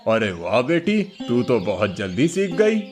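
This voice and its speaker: A man's voice: mid-range voice, very animated, a little slow. A man voicing a patient, fatherly old cowherd grandfather in a cartoon story. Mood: gentle. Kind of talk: Hindi cartoon moral story dialogue. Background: music.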